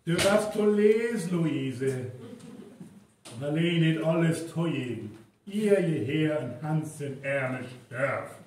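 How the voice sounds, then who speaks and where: A man's voice: on the high side, lively intonation, slow pace, darkish tone, a little hiss or hum. An actor playing a blustering, questioning man with a North Frisian accent in a live radio play. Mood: grumbling. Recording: very echoey live stage recording, distant mic.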